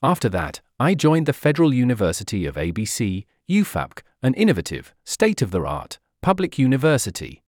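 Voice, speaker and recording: An older man from the Netherlands giving measured, formal answers as an interviewee. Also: mid-range voice, wildly swooping pitch, dry recording, clean studio sound.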